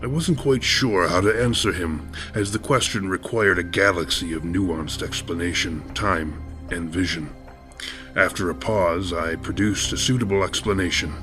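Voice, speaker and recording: A man narrating a horror audio drama in a measured, ornate storytelling manner. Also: low voice, music in the background.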